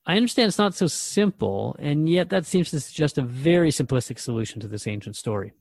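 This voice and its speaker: A man's voice: on the high side, animated, brisk, vocal fry, a little mellow, dry recording, clean studio sound. A man acting as a radio host in a drama, asking questions in an earnest, interviewing manner.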